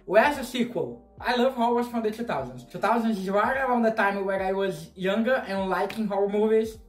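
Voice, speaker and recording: Excitable Brazilian-accented man, roomy echo. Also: high-pitched, a little fast, faint music behind. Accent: Brazilian Portuguese. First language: Portuguese. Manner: excitable, gushing, swears casually, gestures widely. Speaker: movie reaction host. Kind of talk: casual reaction commentary. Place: small bedroom, indoors. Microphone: wired earphone mic.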